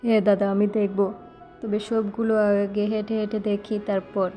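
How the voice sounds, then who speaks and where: A woman's voice: medium pitch, flat delivery, normal speed, warm tone, faint music behind, natural voice. A woman voicing a stubborn but affectionate young man, a doting older brother, in plain scripted cartoon dialogue. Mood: eager.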